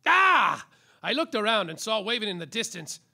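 An actor playing a world-weary, tipsy private detective in a slapstick noir radio play, with melodramatic hard-boiled narration. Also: male voice, high-pitched, very animated, moderate pace, gravelly, sharp tone, heavily acted. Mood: dramatic, excited.

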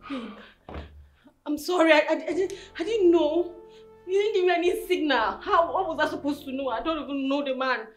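High-pitched, hot-tempered jealous girlfriend. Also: very animated, brisk, long pauses, a bit bright, faint music behind, heavily acted. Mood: pleading, apologetic. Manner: emotional, repeats herself. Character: hot-tempered jealous young girlfriend, quarrelsome, pleading when cornered. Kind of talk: screen drama acting.

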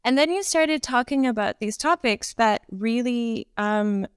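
A woman in her thirties with a Canadian accent, a Pilates instructor chatting as a guest on a video call, talking with lively gestures and lots of fillers. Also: fairly high pitch, a little slow, smooth, no room sound, clean studio sound. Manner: chatty, animated hand gestures, frequent fillers. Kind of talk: casual conversational interview. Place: home room, indoors.

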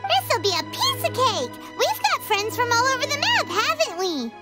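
A woman voicing a tiny, excitable fairy girl companion in an anime video game, squeaky, childlike and cutesy. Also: moderate pace, over loud music, exaggerated voice. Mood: delighted. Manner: talks of herself in third person, cutesy.

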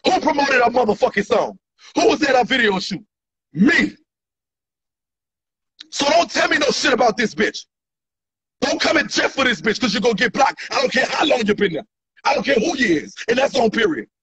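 A man's voice: extremely high pitch, lively intonation, normal speed, long pauses, fairly bright tone, slightly rough. A man, ranting angrily and profanely at his followers in a selfie video, recorded on a phone held at arm's length indoors. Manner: angry, profane, repetitive, gesturing wildly. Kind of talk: heated selfie-video rant. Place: indoor room. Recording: dull lo-fi phone audio.

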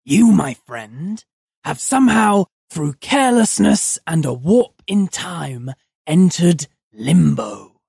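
A narrator voicing a harsh, creaky toad-like demon. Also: mid-range voice, big pitch swings, darkish tone, no echo, studio quality, exaggerated voice. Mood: scornful. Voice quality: harsh, grating voice. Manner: slow, drawling and scornful. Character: bald toad-like male demon of the past, contemptuous.

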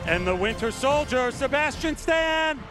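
A man on a large convention stage with a handheld microphone, introducing actors one by one and shouting their names over the crowd. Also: very high voice, lively intonation, unhurried, over music. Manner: announces names with rising, shouted emphasis. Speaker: studio head presenting a convention panel.

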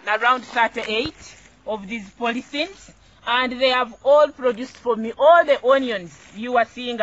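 A young woman with a Ugandan accent hosts an informal instructional vlog. Her voice is fairly high and very bright, with a forceful edge. She is encouraging and emphatic, often repeating phrases to drive a point home. The outdoor camera recording is slightly dull and lo-fi. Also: slight background noise. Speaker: farmer and video host. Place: small outdoor home garden.

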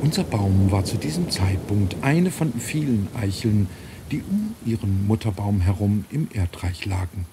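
A man's voice: lowish voice, big pitch swings, a little slow, noisy background. A middle-aged man reading a children's storybook as scripted audiobook narration. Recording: nature ambience and birdsong behind voice. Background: birds, forest ambience.

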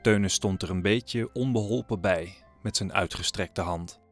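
A man's voice: low-pitched, moderate pace. A man narrating a story in a scripted audio drama style. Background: music.

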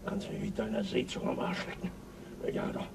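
A man's voice: brisk, far from the mic, slight background noise, close to an everyday voice. An actor playing a bound, hostile crewman who curses and threatens in a radio play. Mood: paranoid snarling. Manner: snarling, threatening. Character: bound, hostile male crewman, paranoid and cursing.

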